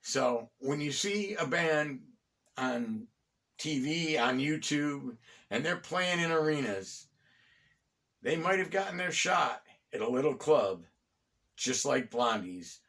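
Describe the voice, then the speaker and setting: A man's voice: fairly high pitch, animated, slow pace, fairly bright tone. Middle-aged American man telling local history stories. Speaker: host and storyteller of a local history series. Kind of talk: conversational bedtime-story style history narration.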